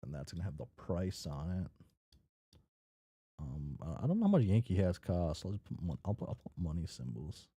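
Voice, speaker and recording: Male streamer, deep pitch, very soft dark tone, dry close sound, offhand casual delivery, occasional word stumbles. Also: very animated, moderate pace, slightly rough, dry recording. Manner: mumbly offhand asides, stumbles over words when reading. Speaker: host of a read-along stream. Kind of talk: casual chat while drawing and reading a story aloud.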